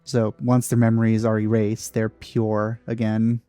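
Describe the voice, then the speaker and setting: A man giving casual gameplay commentary, low-key and halting as he thinks aloud. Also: completely flat delivery, warm tone, faint music behind. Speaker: let's play commentator.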